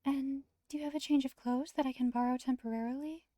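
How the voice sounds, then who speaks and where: A woman voicing a shy, polite young angel girl as a soft-spoken houseguest in a visual novel. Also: high voice, steady tone, fast, no room sound, studio quality, pitched above the performer's own voice, close to an everyday voice. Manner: polite, demure, gentle. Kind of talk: visual novel dialogue read aloud.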